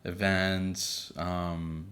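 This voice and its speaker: A man in his thirties co-hosting a podcast with his wife, chatting casually with a relaxed, filler-laden manner. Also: deep voice, very slow. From Boston area. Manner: relaxed, many fillers and restarts. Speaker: podcast co-host. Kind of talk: casual chat.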